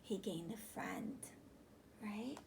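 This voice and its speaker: A woman in her thirties reads a children's story aloud like a teacher, animated and deliberate, pausing to question her young listeners. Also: mid-range voice. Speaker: teacher reading a picture book aloud. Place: small room indoors, on a couch. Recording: clear background room noise.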